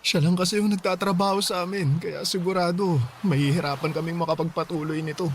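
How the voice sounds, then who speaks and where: A grieving young man and dutiful eldest son in a radio drama. He talks earnestly and sorrowfully in a slightly high voice. A faint hiss sits behind him. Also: steady tone, fairly quick. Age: young adult. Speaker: boyfriend, college student in radio drama.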